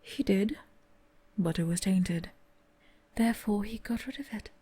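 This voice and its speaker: Brief: woman, icy commanding whisper, breathy, mellow, unhurried. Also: medium pitch. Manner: cold, commanding, often whispered. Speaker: channel host acting a cold manor mistress. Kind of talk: ASMR roleplay acting.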